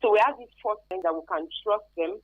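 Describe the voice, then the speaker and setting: A woman's voice: mid-range voice, fast. A woman with a Nigerian accent explaining things emphatically and conversationally as a guest expert in a radio interview, with local Pidgin tags. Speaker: guest expert on human trafficking. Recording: thin phone-like band-limited radio sound.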